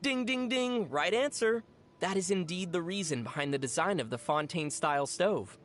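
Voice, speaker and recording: An actor voicing a young traveling adventurer in a video game, level-headed and gently teasing, explaining things in a dry, playful way. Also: mid-range voice, lively intonation, normal speed, heavy background noise, natural voice. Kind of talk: video game character dialogue.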